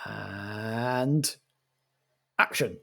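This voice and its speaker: A man acting as game master, setting scenes in a measured way for an improvised roleplay. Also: lowish voice, gravelly, sharp tone.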